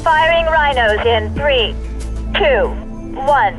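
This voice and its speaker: An actress playing a composed, clever scientist in a video game, speaking in a precise, businesslike way. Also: female voice, sits high, animated, takes it slowly. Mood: concentrated. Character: composed, clever female scientist on a military mission. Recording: music behind the voice.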